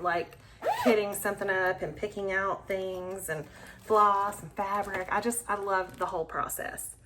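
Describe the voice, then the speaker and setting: A woman's voice: mid-range voice, a bit bright, slight background noise, poor audio. A woman hosting a cross-stitch video, chatting casually and with enthusiasm about her projects, sometimes dropping to a near whisper. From Alabama, US. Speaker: cross-stitch channel host. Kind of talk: casual show-and-tell chat.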